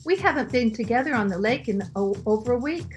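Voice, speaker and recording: Woman acting high-pitched, anxious devoted wife. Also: lively intonation, quick pace, soft background music, lightly acted. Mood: anxious, pleading. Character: gentle, anxious, devoted wealthy wife, timid and forgiving. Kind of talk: old-time radio melodrama acting. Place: remote recording over Zoom.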